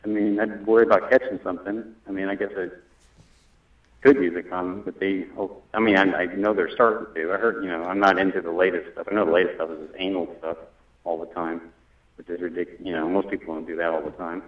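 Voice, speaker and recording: Over a thin, echoey phone connection, a man speaks in a fairly low voice. His delivery is casual and tentative, with sentences that tend to trail away. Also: level tone, a little fast. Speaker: job applicant on a phone call. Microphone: telephone. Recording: tinny, echoey phone line.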